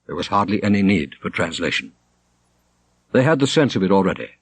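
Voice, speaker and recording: A man reading a literary story aloud as an audiobook narrator, in a theatrical, expressive manner. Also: low-pitched, brisk, husky, dry recording. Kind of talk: dramatic literary narration with character voices.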